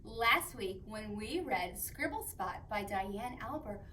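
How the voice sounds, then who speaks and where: A woman playing an excited, friendly character who hosts a children's show and speaks directly to young viewers. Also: mid-range voice, slow. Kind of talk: scripted children's show presenting. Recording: distant mic, faint background noise.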